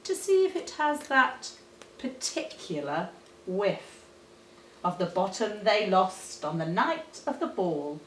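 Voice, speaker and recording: Woman, storybook read-aloud, very lively intonation, unhurried, dramatic delivery. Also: medium pitch, slight background noise. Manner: animated, dramatic, deliberate storytelling. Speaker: teacher reading a storybook. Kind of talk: expressive read-aloud of rhyming children's story. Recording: roomy, echoey, distant microphone.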